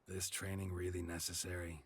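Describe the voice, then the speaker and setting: An actor playing a gruff, laconic monster hunter and adoptive father, with terse, understated lines and a gravelly voice in a video game. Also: low-pitched, completely flat delivery, fairly quick, a little hiss or hum, close to an everyday voice. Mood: calm, terse.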